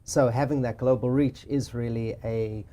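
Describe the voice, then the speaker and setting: A man with a South African accent, a real estate agent explaining his business in a measured way during an interview. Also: mid-range voice, fairly even intonation, mellow, a little hiss or hum. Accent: South African with a Boston tinge. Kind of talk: interview conversation.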